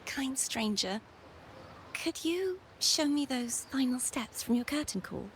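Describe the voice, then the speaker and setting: A woman voicing a gracious, wistful young stranger in a video game, speaking in a soft, halting, polite way. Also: high voice, lively intonation, slight background noise, heavily acted. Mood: delighted, wistful.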